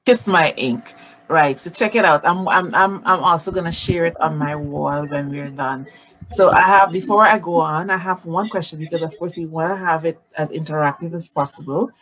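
A woman in her forties hosting a live-streamed interview from home over a video call, animated and greeting viewers by name. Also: low-pitched, normal speed, low-quality recording. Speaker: talk show host. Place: home room, indoors. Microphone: video call webcam.

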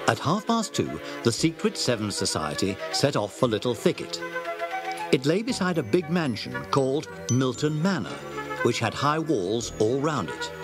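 Male storybook narrator, animated delivery, music bed underneath. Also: mid-range voice, moderate pace.

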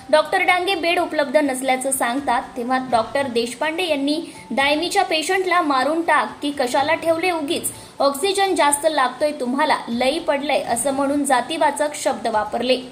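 A woman's voice: sits high, slight background noise. A woman reading scripted news in a formal, emphatic manner. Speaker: news presenter.

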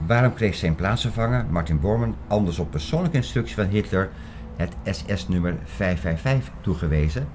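A man's voice: deep voice, animated, normal speed, background noise. A man playing an earnest theorist in an audio drama, lecturing in an emphatic, didactic and insistent way. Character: earnest male theorist expounding an apocalyptic conspiracy. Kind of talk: Dutch audio drama acting, lecturing monologue. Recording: prominent music bed under the voice.

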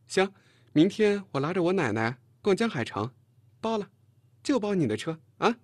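一位男演播者在有声书里演一个爱捉弄人、又爱发号施令的年轻女同事，时而撒娇，时而数落。. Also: male voice, sits high, sing-song, darkish tone, no echo, voice pushed up. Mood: cheerful. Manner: mocking, quick to pout and scold. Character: brash teasing young woman colleague, playful and bossy. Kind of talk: audiobook character dialogue.

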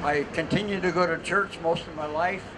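An older man reading a prepared speech in a measured way on an outdoor city stage. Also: on the high side, steady tone. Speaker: festival speaker. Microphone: mic on stand through PA. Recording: outdoor street noise.

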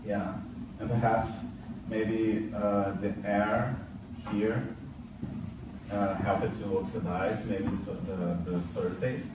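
A young man with a Brazilian accent, giving a chemistry lesson in a classroom with many pauses, fillers and restarts. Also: fairly low pitch, little pitch movement. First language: Portuguese. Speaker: student teaching a practice class. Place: classroom with whiteboard. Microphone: far camera at back of room. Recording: echoey room from far off, noisy.

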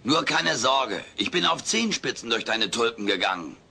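Gravelly, wisecracking alien. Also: male voice, mid-range voice, sing-song, normal speed, bright, forceful tone, full character voice. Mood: glib, cheeky. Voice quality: raspy, gravelly. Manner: sarcastic, glib, shifting blame. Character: cheeky, wisecracking furry alien houseguest, male. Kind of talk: German-dubbed sitcom audio play.

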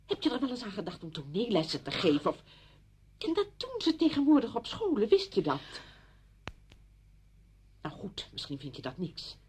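An actress playing an exasperated, blunt, emotional neighbour in a radio play, agitated and emphatic. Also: female voice, lowish voice, animated, fast, hardly any pauses, husky. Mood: urgent, coaxing. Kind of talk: Dutch radio play acting.

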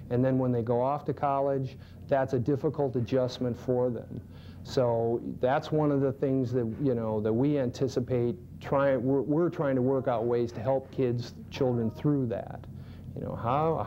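Mellow-voiced man in a lo-fi interview. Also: medium pitch, a little hiss or hum. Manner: relaxed, thoughtful, with restarts. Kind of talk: on-camera interview. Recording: dull lo-fi 1990s video.

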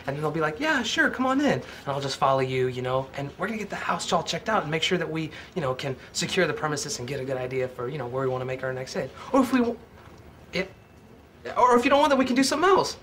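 A young man doing a jittery hitman who rambles his plans at a fast clip, his pitch jumping all over. He stammers and argues defensively. A faint hiss sits under his voice. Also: big pitch swings, fairly bright tone. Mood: scheming.

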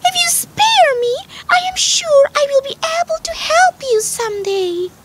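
A woman with an Indonesian accent voicing a tiny, timid female mouse in a children's story, squeaky and plaintive. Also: big pitch swings, measured pace, a bit bright, slight background noise, exaggerated voice. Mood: frightened, pleading. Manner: pleading, plaintive.

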